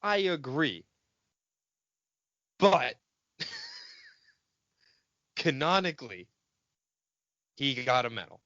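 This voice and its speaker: A man with a slightly high, very animated voice banters on a fan podcast. He is nitpicky and casual about swearing. The sound is dry and a touch dull. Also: very slow, long pauses, no echo. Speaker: podcast co-host.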